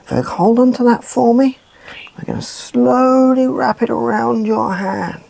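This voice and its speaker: Puppet show caregiver , played by a woman: caring and reassuring, slightly husky, slow delivery for a young child. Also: a little high, warm tone, dry recording, full character voice. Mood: gentle, instructive. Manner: soothing, simple explanations to a child. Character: gentle, caring adult woman tending a hurt child.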